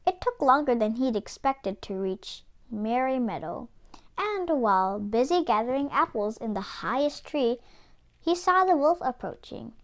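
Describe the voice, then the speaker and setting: A woman reading a children's story aloud as an expressive narrator. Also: fairly high pitch, a little slow. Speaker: children's book reader.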